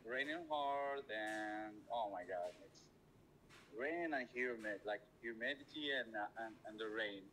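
A man's voice: fairly low pitch, a little slow, a bit bright, slight background noise, poor audio. A man from Morocco chatting on a call, shy and hesitant with many fillers. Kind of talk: casual phone chat.

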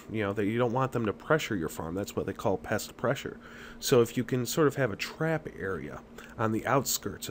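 A man hosting an online channel, talking through an article in an informal explainer style. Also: on the low side. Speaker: channel host. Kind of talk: informal explainer narration over screen recording. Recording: clear background noise.

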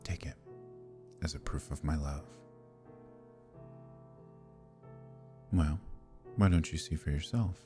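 A man playing a proud, possessive fae prince in an intimate ASMR roleplay, husky and breathy, with measured, courtly speech that dips into whispers. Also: a bass voice, dark tone, soft background music. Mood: proud, devoted. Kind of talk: ASMR roleplay, intimate archaic theatrical acting.

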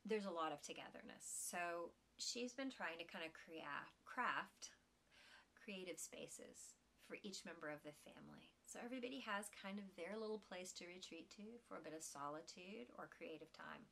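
A woman in her forties talks to her listeners in a loose, rambling way, restarting sentences and laughing now and then. Her voice sits slightly low, gravelly and bright, and sounds a little strained from allergies. She is recorded at home in a small room, seated in an armchair. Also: moderate pace, slight background noise. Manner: chatty and rambling, warm laughs, frequent restarts. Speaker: knitting podcast host. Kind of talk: casual chat to listeners.

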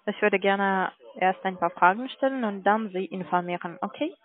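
A woman's voice: medium pitch, moderate pace. A woman on a sales call, formal, polite but firm. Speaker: call centre sales agent. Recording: narrow phone-line audio.

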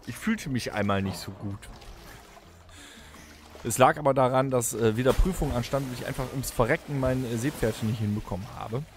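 A man's voice: medium pitch, fairly quick, soft background music. A middle-aged man from Germany hosting a podcast, chatting casually and rambling with self-mocking asides. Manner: rambling, self-mocking, lots of filler sounds. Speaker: podcast host. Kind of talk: casual chat in a staged holiday audio play.

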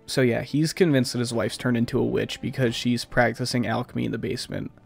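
Guy doing casual game commentary, scratchy and gravelly, bit stuffed up, rambles along. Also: medium pitch, fairly even intonation, fairly quick, soft background music. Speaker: let's play host.

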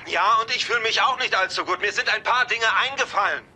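An actor dubbing an agitated, accusing adult son in a German sitcom. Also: male voice, high-pitched, fast, pushed and bright, slight background noise. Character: agitated adult son confronting his mother.

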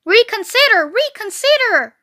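Woman as a shrill, high-pitched bossy storybook goose. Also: lively intonation, normal speed, pushed and bright, full character voice. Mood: pleading warning. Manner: urgent, repeats phrases insistently. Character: bossy, frantic goose honking orders and warnings. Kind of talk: animal storybook voice.

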